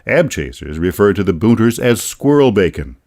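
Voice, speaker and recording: Deep-voiced American man narrating wryly. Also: very animated, moderate pace, a little mellow. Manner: wry, folksy storytelling. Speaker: radio feature narrator and author. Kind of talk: scripted radio documentary narration.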